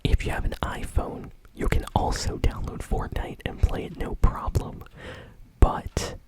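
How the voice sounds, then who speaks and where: A woman speaks entirely in a whisper, hosting an ASMR news show about games. Her delivery is soft and conversational, taking its time from phrase to phrase. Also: measured pace. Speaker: host of a gaming news show.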